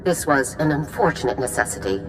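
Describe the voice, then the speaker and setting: A woman's voice: low-pitched, animated, a little fast, very noisy. A woman acting a stern middle-aged female general in a video game, clipped, formal and authoritative. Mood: brisk, commanding. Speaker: military commander. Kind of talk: scripted game dialogue.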